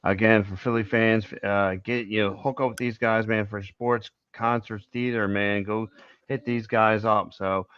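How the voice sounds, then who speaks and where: A man co-hosting a podcast, reading a sponsor shout-out in a laid-back way and saying "man" often. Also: on the low side, level tone, unhurried, smooth. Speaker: podcast co-host. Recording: dull lo-fi sound.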